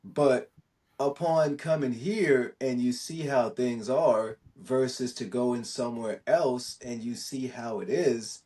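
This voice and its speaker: A man's voice: medium pitch, slow pace, dark tone, distant mic. A man from Jamaica chatting casually and telling stories in a slangy way, often repeating his words and phrases. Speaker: podcast speaker. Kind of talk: casual chat, storytelling.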